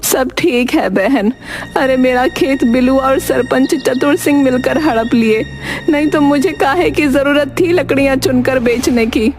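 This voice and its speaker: A woman's voice: high-pitched, unhurried, darkish tone, pitched above the performer's own voice. A woman voicing a weak, grateful village mother with a homely rustic manner in a cartoon story. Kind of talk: cartoon moral story acting. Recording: music behind the voice.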